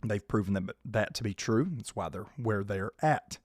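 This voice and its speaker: A man, hoarse and losing his voice, hosting a casual sports podcast and keeping his volume down. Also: fairly low pitch, moderate pace, no room sound, clean studio sound. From South Georgia, US. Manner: restrained, holding his volume down. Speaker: podcast host.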